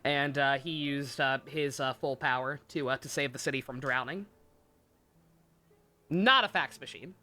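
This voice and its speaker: Bright-voiced, sardonic woman game streamer. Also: rumbling low, unhurried. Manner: sweary, sardonic, rambling. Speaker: game livestreamer. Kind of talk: casual live stream commentary and recap. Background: game music.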